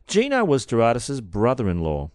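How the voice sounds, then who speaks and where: A man's voice: medium pitch, huge pitch swings, normal speed, a little mellow, dry recording, studio quality. A man co-hosting a casual true-crime podcast, reading out case details and joking in between.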